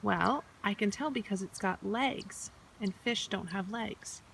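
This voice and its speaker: A woman's voice: mid-range voice, measured pace, vocal fry, a bit bright. A woman chatting cheerfully and kindly outdoors by a pond, explaining things as she plays along. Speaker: companion playing along with the toy. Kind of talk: playful outdoor chat. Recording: distant outdoor mic with background noise.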